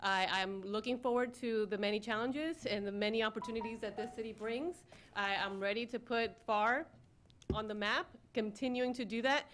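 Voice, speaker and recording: Middle aged lady, slightly high voice, introducing herself at a city meeting. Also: steady tone, normal speed, a bit bright, slight background noise. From born in Ecuador, raised in Florida. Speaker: newly hired city communications director, former newscaster. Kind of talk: self-introduction speech at public meeting. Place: city commission meeting room.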